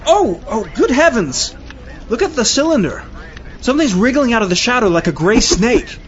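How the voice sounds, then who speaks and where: An actor playing a melodramatic, flustered radio news reporter in a parody of old-time radio drama, with florid announcing. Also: male voice, very high voice, big pitch swings, normal speed. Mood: horrified, melodramatic. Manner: breathless, florid, alarmed announcing. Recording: slightly muffled recording.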